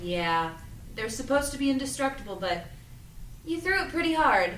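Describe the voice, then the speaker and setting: A young woman playing an earnest, self-doubting young shop worker in an audio drama read-through, speaking in an informal, expressive way. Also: high voice, normal speed. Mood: subdued, dry. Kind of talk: audio drama cast reading. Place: echoey room at a cast read-through. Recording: roomy, echoing, distant mic, background noise.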